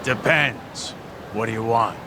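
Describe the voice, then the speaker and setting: A man's voice: mid-range voice, slow pace, noisy background, lightly acted. A man voicing a folksy, slangy homeless man trading tips and favors in a video game cutscene. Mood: guarded, casual.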